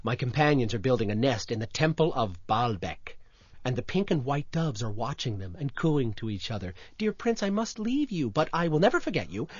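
A woman's voice: rumbling low, big pitch swings, fairly quick, a little mellow, husky, no room sound, highs cut off, full character voice. A woman voicing a small, devoted male swallow in a storybook reading, earnest, tender and wistful. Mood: wistful, eager.

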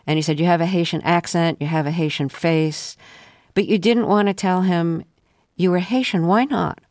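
A woman hosting a radio interview, asking calm, probing questions with frequent pauses and ums, from a studio. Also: on the low side, dry recording.

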